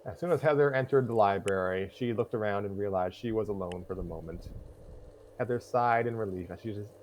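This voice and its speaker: A man's voice: fairly low pitch, a little fast, a little mellow, a little hiss or hum. A man hosting a live story reading, switching between scripted narration and casual chat, sometimes stumbling over his lines.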